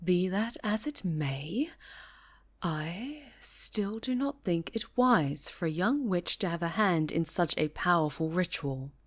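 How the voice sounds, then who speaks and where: A woman voicing a stern, cautious high enchantress and mentor, speaking gravely and formally in a fantasy roleplay. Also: expressive, takes it slowly. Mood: wary. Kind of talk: fantasy ASMR roleplay acting.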